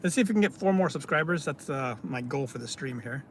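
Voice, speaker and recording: A man from California, hosting a casual live stream, reading out viewer comments and answering them in a halting way with many fillers. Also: mid-range voice, animated, slight background noise. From California, United States.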